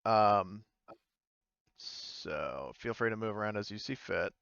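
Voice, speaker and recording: A man's voice: lowish voice, animated, slow pace, gravelly, a bit bright, smooth, dry recording, highs cut off. A man running a tabletop game as game master, talking informally and swearing casually. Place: close, dry mic in an online game session.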